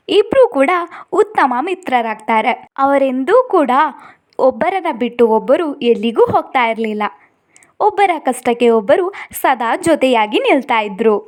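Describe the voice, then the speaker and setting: A woman with a high voice tells a children's story. Her pitch moves up and down in an animated, expressive way. She addresses young listeners directly, as a storyteller hosting her own channel. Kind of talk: children's moral story narration.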